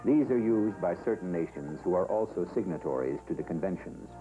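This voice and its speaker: An American man reading scripted training film narration in a formal, measured, solemn manner. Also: low-pitched, expressive, rough recording. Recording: 1970s film soundtrack with music. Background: background music.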